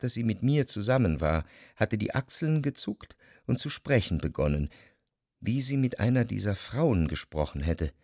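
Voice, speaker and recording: A man's voice: mid-range voice, darkish tone, dry recording, clean studio sound, natural voice. An actor playing the introspective narrator of a literary radio drama, a tormented, jealous lover who reflects precisely and expressively on his past. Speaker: first-person narrator.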